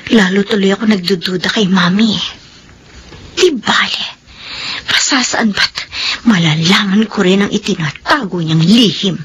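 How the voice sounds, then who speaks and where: A woman's voice: mid-range voice, a little slow, fairly bright tone, a little hiss or hum, close to an everyday voice. A woman playing a suspicious, scornful young daughter in a melodramatic drama, sarcastic and exclamatory. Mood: suspicious, brooding. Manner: sarcastic, exclamatory, mixes in English. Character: suspicious, scornful daughter confronting her mother. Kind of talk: melodramatic radio drama acting.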